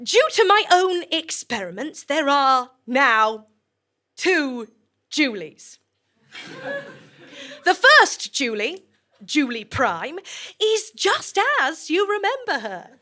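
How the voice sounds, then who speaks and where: High-voiced, unhurried science director. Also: female voice, very animated, sharp tone, full character voice. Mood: amused, confessional. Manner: polite, formal, measured, understated. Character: genial, unflappable female director of a mad science institute. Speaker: research institute director addressing staff. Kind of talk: comic audio drama speech.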